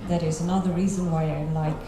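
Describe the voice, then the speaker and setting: A woman's voice: lowish voice, level tone, quick pace, crowd noise. A woman with a Russian accent introducing herself on a panel, hesitant with many fillers. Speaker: panelist journalist. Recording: echoey hall, audible noise.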